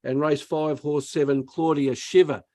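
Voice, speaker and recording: A man hosting a sports radio show, brisk as he wraps up and reads out tips. His voice sits fairly high. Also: steady tone, a little mellow. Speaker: radio host. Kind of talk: radio show host wrap-up.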